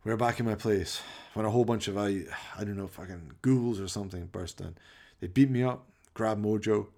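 A man's voice: lowish voice, moderate pace, gravelly, darkish tone. A man playing a frazzled but affectionate male hero in a radio play. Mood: weary, angry. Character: frazzled, affectionate man, chimp's best friend.

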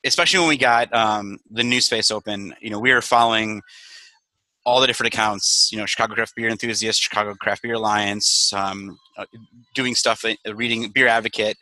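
A man's voice: fairly low pitch, fairly even intonation, brisk, sharp tone, no echo, low-quality recording. A man from Wisconsin who owns a brewery, talking candidly and energetically on a video call, swearing now and then. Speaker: brewery owner. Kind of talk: casual video call chat.

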